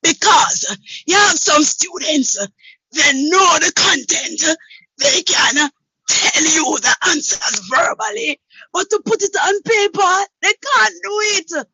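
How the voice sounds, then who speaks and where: A woman teacher with a hoarse voice. She asks her question in an earnest, emphatic way. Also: extremely high pitch, animated, takes it slowly, sharp tone, no room sound, rough recording. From Jamaica.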